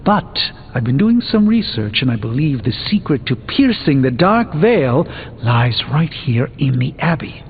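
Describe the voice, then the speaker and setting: An actor playing a learned, composed middle-aged sorcerer in a video game, speaking with precise, formal, measured diction. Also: male voice, mid-range voice, sing-song, dull audio, a little hiss or hum. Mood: grave, instructive. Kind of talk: video game character dialogue. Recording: band-limited game audio, long reverb, background music.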